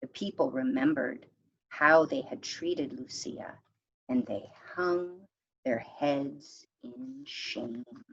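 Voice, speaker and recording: A woman teacher reading a story aloud expressively, with deliberate dramatic pauses and hushed phrases. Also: low voice, creaky, slightly rough, lo-fi sound.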